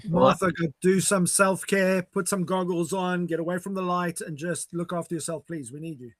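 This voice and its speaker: A man's voice: sits high, steady tone, moderate pace, dry recording. A man hosting a live video call chat, encouraging and thankful as he wraps up with his co-hosts. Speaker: host. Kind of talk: casual chat wrap-up on a live stream.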